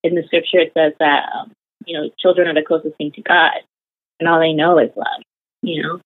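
A young woman with a deep, close, dry voice tells her story in a loose, chatty way. Her talk is full of fillers. The sound is narrow and tinny, like a phone line. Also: level tone, a little fast, no echo. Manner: chatty, many fillers like "like" and "you know". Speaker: interviewee. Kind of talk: casual conversational storytelling.

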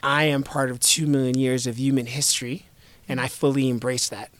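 A man in his thirties from the United States, an investor guest talking reflectively in an interview, in a measured way with repeated starts. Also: mid-range voice, little pitch movement, a bit bright, dry recording. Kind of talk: conversational interview.

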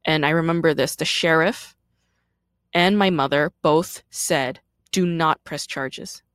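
A woman in her thirties hosts a podcast, her voice pitched low and her delivery candid and emphatic. She often stops to ask "right?" as she speaks alone into the mic. The sound is dry and close. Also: a little slow, a bit bright, dry recording. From South Korea, raised in Brooklyn. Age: 30s. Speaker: podcast host. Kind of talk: personal monologue.

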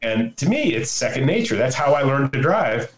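A man's voice: medium pitch, sing-song, normal speed. An older man, a podcast guest, chatting casually in a folksy, opinionated storytelling way. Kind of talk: casual conversation. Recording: roomy echo.

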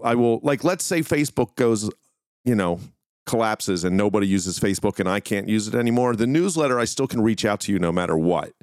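A man's voice: fairly low pitch, a little mellow, clean tone, no room sound, studio quality. A man hosting a podcast, talking casually and informally to his listeners in a rambling, conversational way. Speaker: podcast host. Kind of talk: casual solo talk to listeners.

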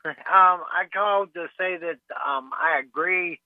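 A man's voice: sits high, dry recording. Hesitant man calling in over a tinny phone line. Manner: hesitant, halting with many ums. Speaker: caller. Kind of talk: phone-in call to talk radio. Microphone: telephone.

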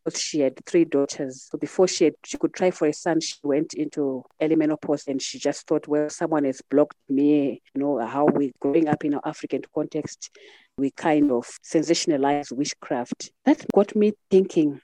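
A middle-aged woman with a Zimbabwean accent shares personal memories as an interview guest. Her voice is soft-edged and mellow, and the recording is close and dry. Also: low voice, normal speed, no room sound, rough recording. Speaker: podcast guest. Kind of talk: reflective personal storytelling in an interview.

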